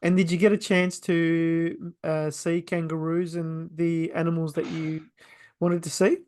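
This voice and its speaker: A man's voice: a little high, flat delivery, unhurried, a little mellow. A man interviewing a student, asking questions and giving short encouraging affirmations. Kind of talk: interview questions and brief backchannels.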